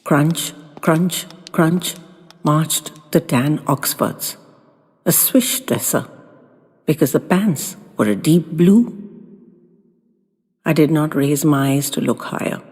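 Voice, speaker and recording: A woman narrating a first-person audio story in a wistful, theatrical and deliberate way. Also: deep voice, darkish tone, husky. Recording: long reverb, music underneath.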